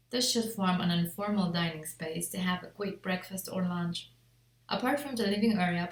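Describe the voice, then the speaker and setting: A woman reads a scripted project presentation as an interior designer, in a measured, formal way. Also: fairly low pitch. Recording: echoey untreated room.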